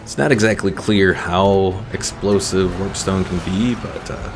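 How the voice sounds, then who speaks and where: Low, gravelly man streaming a game. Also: fairly even intonation, unhurried. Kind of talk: casual gameplay commentary, reading chat. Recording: game music behind the voice. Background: video game music.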